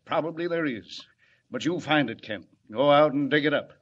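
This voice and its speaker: An actor playing an anxious, gruff, exasperated city commissioner in 1940s radio drama style. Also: male voice, fairly high pitch, normal speed, natural voice. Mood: desperate. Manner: emphatic, exasperated, repeats himself. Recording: dull vintage radio recording.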